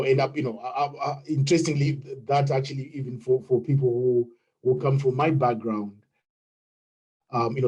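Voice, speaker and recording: Man, zimbabwean accent, husky, mellow dark tone, halting with fillers, video call. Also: mid-range voice, low-quality recording. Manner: hesitant, many fillers and restarts. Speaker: webinar participant. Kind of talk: unscripted contribution in an online meeting.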